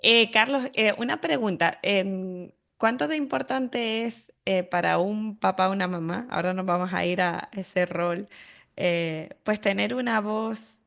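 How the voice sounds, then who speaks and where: A woman's voice: medium pitch, unhurried, gravelly, fairly bright tone. A woman with a Spanish accent from Spain hosting a casual conversational interview, reflective and often checking for agreement. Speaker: podcast host.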